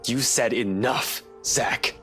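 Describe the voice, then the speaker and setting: A man's voice: medium pitch, slow pace, sharp tone, faint music behind. A man playing a blunt, scolding investigator. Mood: angry. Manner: curt, scolding, swearing. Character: stern male investigator, blunt and protective.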